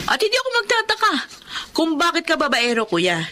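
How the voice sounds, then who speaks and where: A high, bright female voice with a Filipino accent. She is a jealous, talkative wife who jabs and accuses. Her delivery is sarcastic and sharp-tongued. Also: wildly swooping pitch, fairly quick, heavily acted. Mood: defiant, sarcastic. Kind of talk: Filipino radio drama acting.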